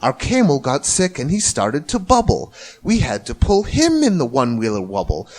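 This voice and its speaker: A man narrating a rhyming children's story in an expressive, theatrical way. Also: mid-range voice, moderate pace. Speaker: storybook reader.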